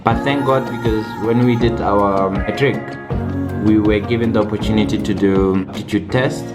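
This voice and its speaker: A young man from South Africa talks in a low, relaxed voice, looping back over his own words as he chats. The room rings with echo, and clear music plays underneath him. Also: normal speed. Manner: chatty, repeats words as he goes. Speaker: vlogger. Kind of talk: casual personal vlog. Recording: echoey room with music behind.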